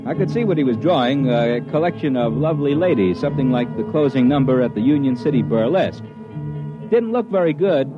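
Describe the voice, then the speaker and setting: Radio drama narrator, male actor: dry wit, skeptical, expressive pitch, dull vintage sound with music underneath. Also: a little fast, close to an everyday voice. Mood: wry, storytelling. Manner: dry, wry, hesitant interjections. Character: wry, skeptical male narrator drawn into a scheme. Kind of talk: first-person old-time radio storytelling. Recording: muffled old radio recording.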